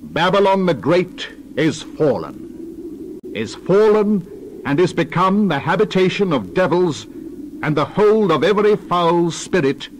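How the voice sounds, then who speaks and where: A man voicing a mighty, stern angel proclaiming doom in grand, drawn-out declamation for a dramatized scripture reading. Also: high-pitched, expressive, a little hiss or hum.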